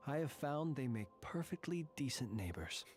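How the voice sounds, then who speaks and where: An actor playing a solemn, formal and courteous guardian of haunted tombs in a video game. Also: male voice, mid-range voice, sing-song, a little mellow, soft background music, natural voice. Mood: apologetic, gracious. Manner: formal, measured, ornate courteous speech. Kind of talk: video game character dialogue.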